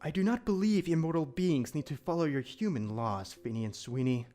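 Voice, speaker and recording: A man playing a calm, solemn immortal demon protector in an audio drama, speaking in formal, measured, archaic phrasing. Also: on the high side, faint music behind, natural voice. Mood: courteous, devoted. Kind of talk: audio drama character acting.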